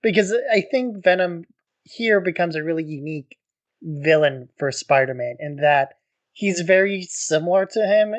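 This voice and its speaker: A man co-hosting a podcast, talking in a relaxed, casual way and saying "like" often. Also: fairly high pitch, moderate pace, highs cut off. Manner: relaxed, peppered with "like", laughs easily. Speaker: podcast co-host.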